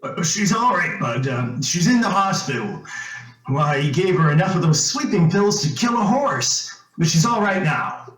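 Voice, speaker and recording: A man playing a folksy, blunt lawman with halting delivery in an old-time radio suspense play. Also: sits high, lively intonation, a bit bright. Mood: reassuring. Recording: echoey room with faint music.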